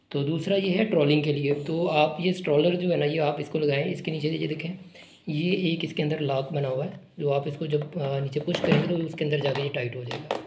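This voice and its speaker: Guy walking thru putting a kids toy together, kinda high voice, phone mic, echoey room w noise. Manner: casual, explanatory, repeats himself while working with his hands. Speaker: online shop product demonstrator. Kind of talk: unscripted unboxing and assembly walkthrough. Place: indoor room with a table.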